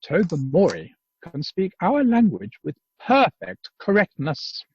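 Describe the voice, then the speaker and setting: A man's voice: high voice, wildly swooping pitch, unhurried, a little mellow, slightly rough, full character voice. A man acting a self-assured, pedantic inventor in an amateur radio play, speaking pompously and precisely over a muffled video call. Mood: smug, lecturing.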